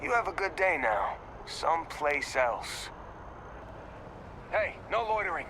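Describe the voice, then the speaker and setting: An actor playing a smug, officious city security officer, deliberate and dismissive, in video game dialogue. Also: male voice, a little high, very animated, noisy background.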